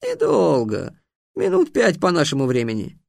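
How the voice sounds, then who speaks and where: A man's voice: a little high, wildly swooping pitch, moderate pace, gravelly, a little mellow, no echo, studio quality, full character voice. A man voicing a boastful, sly young male genie with a wheedling, tricky manner that turns to squealing when he is scared.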